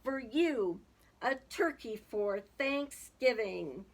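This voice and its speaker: A woman's voice: fairly high pitch, lively intonation, extremely slow, full character voice. A woman voicing a polite, insistent, hearty male moose who calls out in a children's storybook. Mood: proud. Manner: calls out loudly, exclaims. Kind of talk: children's storybook read-aloud.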